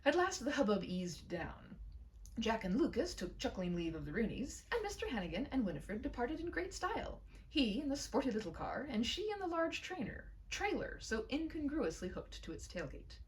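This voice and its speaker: A woman reading a humorous short story aloud as an expressive storyteller. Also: on the high side, moderate pace, recorded from a distance, slight background noise, rough recording.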